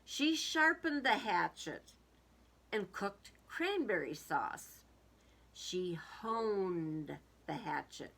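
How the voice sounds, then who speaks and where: A woman's voice: mid-range voice, lively intonation, very slow, creaky, fairly bright tone. A woman reading a storybook aloud to young children, expressively, with casual musing commentary.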